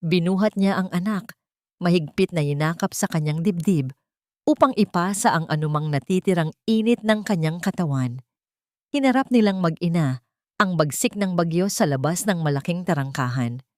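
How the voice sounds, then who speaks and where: A woman narrates a romantic drama as a storyteller. Her voice sits a little low, with a solemn, emotive delivery. The recording is dry, with no room sound. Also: expressive, normal speed, a little mellow, no echo. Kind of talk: scripted dramatic narration of love stories.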